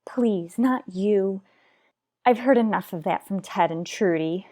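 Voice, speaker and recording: A woman playing an anguished, pleading mother in an old-time radio soap, speaking in dramatic, halting phrases. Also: medium pitch, dark tone, lightly acted. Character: anguished, pleading mother afraid for her child.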